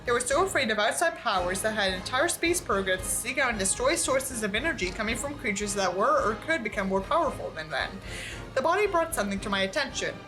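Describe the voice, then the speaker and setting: A woman reading a book aloud in an expressive, exasperated way, with sarcastic asides. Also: fairly high pitch, poor audio.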